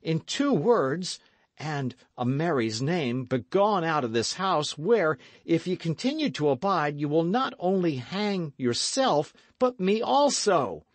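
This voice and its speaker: A man voicing a fierce young male captain who speaks in a sharp, commanding and urgent way, in a voice pitched far above his own. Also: sing-song, slow, no room sound, heavily acted.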